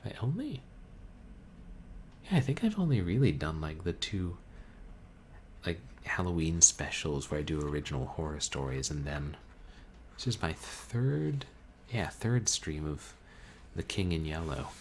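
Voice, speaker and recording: A man streaming a book reading, chatting casually with his chat in a calm, musing, halting way. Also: low voice, slight background noise. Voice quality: calming voice. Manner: musing, soft-spoken, halting. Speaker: streamer reading books aloud.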